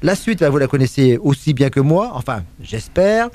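A man's voice: medium pitch, sing-song, moderate pace, dark tone. A man presenting a music radio segment in French, chatting casually with many fillers, restarts and asides to his co-host.

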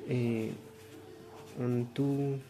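A man from Mexico with a Mexican accent, a native Spanish speaker, speaking haltingly and repeating words as he composes aloud. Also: medium pitch, level tone, background noise.

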